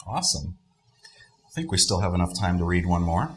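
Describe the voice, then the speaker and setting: A man's voice: deep voice, very animated, fairly quick, soft background music. A man co-hosting a casual language-lesson podcast, reading listener letters aloud with small stumbles.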